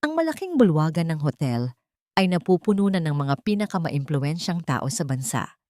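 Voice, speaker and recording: A woman's voice: low voice, very animated, normal speed, mellow, no room sound, studio quality. A woman with a Filipino accent reading a scripted, dramatic audio story as the storyteller.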